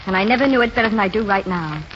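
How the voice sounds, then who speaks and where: An actress plays a defiant young American diner woman, fairly high and very animated. It is 1940s radio drama with a dull, slightly noisy sound. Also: very fast, natural voice. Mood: bitter, defiant.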